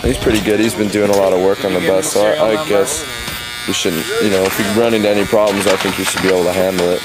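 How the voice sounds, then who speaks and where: A man organizing a tour, talking in a relaxed, matter-of-fact way in a casual documentary interview, hedging with fillers. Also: low voice, fast talker, a loud crowd, rough recording.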